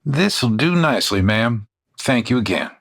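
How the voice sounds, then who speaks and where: A man playing a calm, courteous stranger in an old west comedy audio drama. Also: medium pitch, unhurried, vocal fry, full character voice. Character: polite, calm mysterious male stranger.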